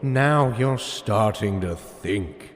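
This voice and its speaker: A man's voice: lowish voice, animated, extremely slow, long reverb tail. A man playing a huge, gloating, theatrical supervillain mastermind in an audio drama, with a grandiose, taunting delivery. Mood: condescending. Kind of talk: hobby audio drama acting.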